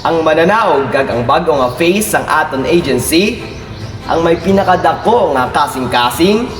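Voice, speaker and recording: A man's voice: a little high, expressive, moderate pace, over music, close to an everyday voice. Earnest grieving Filipino father played by a man. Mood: proud. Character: grieving father who heads a modeling agency, earnest. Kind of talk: amateur radio drama acting.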